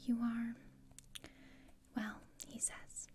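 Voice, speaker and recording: A woman voicing a gloomy, sardonic teenage outcast girl in a whispered ASMR roleplay, speaking in a deadpan, haughty, breathy whisper. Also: fairly high pitch, drawn-out words. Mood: cold, grudging.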